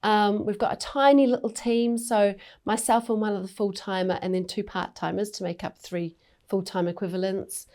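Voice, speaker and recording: A woman's voice: medium pitch, mellow. A woman who runs a charity, explaining its work fluently and earnestly in a conversational interview. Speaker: charity CEO.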